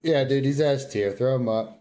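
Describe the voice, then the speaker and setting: A man's voice: mid-range voice, normal speed, dark tone. A man co-hosting a podcast with casual, slangy, laid-back banter. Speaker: podcast co-host.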